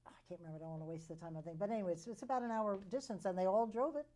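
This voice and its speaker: A woman's voice: mid-range voice, very fast, mellow, slight background noise. An older woman hosting a casual panel talk, chatty and often chiming in with agreement. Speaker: talk show host.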